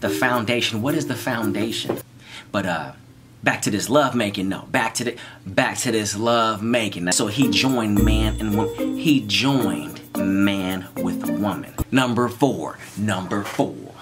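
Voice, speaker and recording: A man's voice: on the low side, unhurried, over loud music. A man, chatting playfully to the camera in a small room and giving marriage tips. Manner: animated, playful, jokes and repeats lines. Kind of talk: casual to-camera chat. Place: small bright room indoors. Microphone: camera in front of him at close range.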